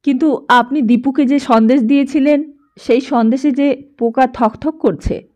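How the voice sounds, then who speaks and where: A woman narrator plays a dutiful household mother in a storybook. Her voice is fairly high, firm and reproachful, pressing with pointed questions. The sound is slightly dull. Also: fairly even intonation, moderate pace, darkish tone, lightly acted.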